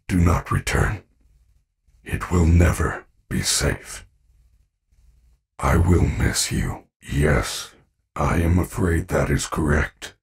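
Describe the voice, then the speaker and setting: A man voicing a gentle, earnest animatronic bear in a video game, speaking formally and carefully with few contractions. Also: rumbling low, vocal fry, breathy edge, far from the mic, full character voice. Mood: solemn, sorrowful.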